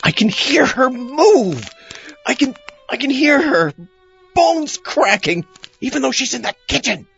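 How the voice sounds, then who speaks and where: Casting: male actor for a troubled, self-doubting husband in an audio drama. Halting, sighing delivery, very animated pitch, dull recording. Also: gravelly, breathy edge, soft background music, exaggerated voice. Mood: desperate. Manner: stammering, sighing. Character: anguished husband losing his senses, frantic and self-doubting.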